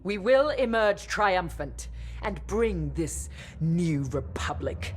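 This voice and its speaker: An actress playing a proud, ruthless Imperial squadron leader, giving a grandiose, measured speech in a video game. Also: female voice, lowish voice, lively intonation, very noisy. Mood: proud menace. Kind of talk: cinematic game monologue.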